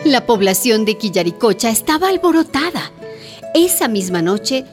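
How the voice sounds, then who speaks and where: Animated woman narrator over clear music. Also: fairly high pitch, normal speed. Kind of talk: scripted radio drama narration.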